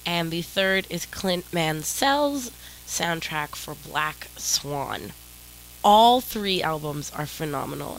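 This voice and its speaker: A woman's voice: low-pitched, fairly bright tone, poor audio. A woman hosting her own music radio show, talking casually and a little rambling, with a stuffed-up nose from a cold and static on her home mic. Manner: rambling, apologetic, self-deprecating. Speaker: radio DJ host. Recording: static-filled home mic with faint noise.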